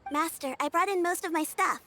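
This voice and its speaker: A woman voicing a shy young girl apprentice in a video game, speaking in a hesitant, halting way. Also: very high voice, pushed and bright, soft background music. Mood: sheepish, nervous.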